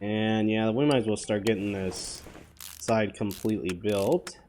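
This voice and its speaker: Hoarse man chatting over gameplay. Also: fairly low pitch, a little slow, darkish tone, a little hiss or hum. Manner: rambling, offhand, full of fillers. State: hoarse from a cold. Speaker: gaming channel host. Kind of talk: casual gameplay commentary.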